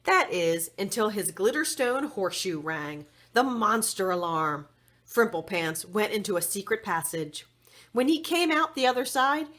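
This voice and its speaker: A woman narrates a children's storybook with a very lively, swooping melody. Her delivery is deliberate and expressive, pitched in an ordinary female register. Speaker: story reader.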